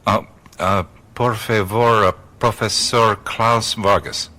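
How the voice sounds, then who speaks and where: An actor playing a wry, easily rattled American adventurer in a radio play, halting and hesitant in his speech. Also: fairly low pitch, steady tone, sharp tone. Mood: hesitant, polite.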